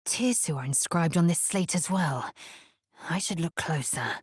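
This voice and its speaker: Fierce alien warrior woman for a fantasy game, slow n husky, super imperious, clipped words. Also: low voice, dry recording, studio quality, heavily acted. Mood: grave, observant. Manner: imperious, clipped, solemn delivery. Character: fierce, haughty young female githyanki alien warrior. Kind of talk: fantasy video game voice acting.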